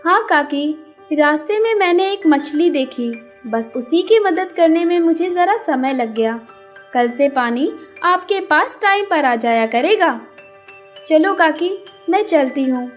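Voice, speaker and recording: A woman voicing a kind, hardworking young female bird in a children's cartoon, speaking in a gentle, earnest, helpful way. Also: sits high, expressive, takes it slowly, soft background music. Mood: cheerful, dutiful. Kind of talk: children's cartoon character acting.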